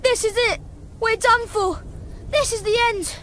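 A woman's voice: extremely high pitch, a little slow, fairly bright tone, slight background noise. A woman voicing an anxious, bossy schoolboy wizard in a video game, impatient and exclamatory. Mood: panicked.

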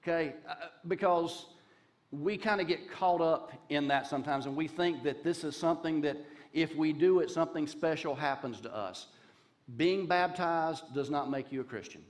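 A Southern man in his fifties preaches from a big dark church stage in a relaxed, folksy way, tossing in jokes and asides. His voice sits a touch high for a man, and a headset mic keeps it close. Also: moderate pace. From Charlotte, North Carolina. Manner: folksy, joking asides, gestures while pacing the stage. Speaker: guest pastor. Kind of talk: conversational sermon.

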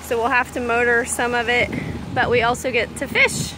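A woman's voice: a little high, little pitch movement, normal speed, noisy background. Upbeat woman filming on a sailboat. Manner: upbeat, chatty, pointing things out. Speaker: sailing vlogger. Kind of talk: casual vlog narration. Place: outdoors on a sailboat at sea. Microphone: camera held at arm's length.

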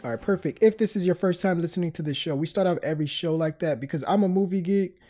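A man's voice: high-pitched, quick pace, mellow, faint music behind. A man hosting a podcast in casual chat, a bit stuffed up from a cold. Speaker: podcast host.

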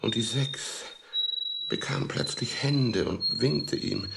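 A man narrating a children's radio play in an expressive, suspenseful storytelling style with a gravelly voice. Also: medium pitch, heavy background noise. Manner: expressive, suspenseful, with whispered asides and dramatic pauses.

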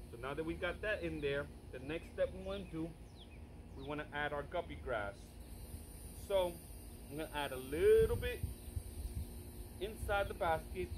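A man in his thirties casually explaining a project to his viewers in a relaxed, chatty way, filmed by a camera set a little away from him in a backyard. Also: a little high, background noise. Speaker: fish breeder vlogger. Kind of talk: casual how-to vlog. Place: outdoors in a backyard. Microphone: far camera on a tripod.

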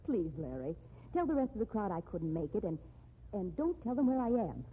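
An actress playing a well-off, determined society woman with a brisk, concerned manner in a 1950s radio drama. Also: medium pitch, big pitch swings, husky. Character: insistent well-off society woman, successful writer. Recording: tinny, noisy 1950s radio recording.

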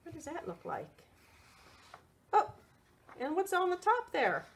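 A woman reading a picture book aloud for children's storytime, expressive and sing-song, prompting young listeners with questions. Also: very high voice, unhurried, gravelly.

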